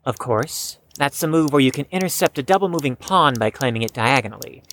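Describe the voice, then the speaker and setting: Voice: mid-range voice, animated, a little fast, fairly bright tone, slight background noise. An actor playing an anxious, proud fifteen-year-old in an audio drama, speaking formally and precisely and stammering when flustered. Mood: smug, composed.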